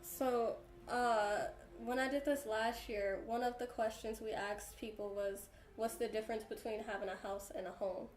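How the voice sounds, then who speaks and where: A woman's voice: a little high, steady tone, normal speed, hardly any pauses. Hesitant woman interviewer, echoey room. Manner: hesitant, rephrases her questions. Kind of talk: oral history interview. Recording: roomy echo.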